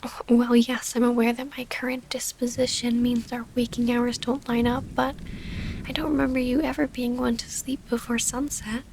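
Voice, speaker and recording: A woman playing a gentle, formal vampire noblewoman and wounded lover in an intimate ASMR roleplay, speaking in a soft, breathy half-whisper. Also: on the high side, level tone, moderate pace, a little hiss or hum, lightly acted. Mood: fond, tentative.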